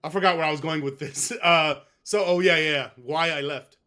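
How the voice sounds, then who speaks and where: A man's voice: a little high, fairly even intonation, moderate pace, sharp tone. A man being interviewed, telling a long personal story in a rambling, casual way. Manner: rambling, with restarts and fillers. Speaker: guest. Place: West.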